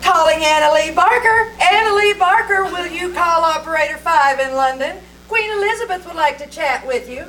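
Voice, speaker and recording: Woman acting high, distant studio paging operator. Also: normal speed, hardly any pauses, a bit bright. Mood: formal paging. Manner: calling out announcements. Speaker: actor in old-time radio troupe. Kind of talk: 1950s radio comedy acting, live on stage. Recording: distant live stage microphone, faint noise.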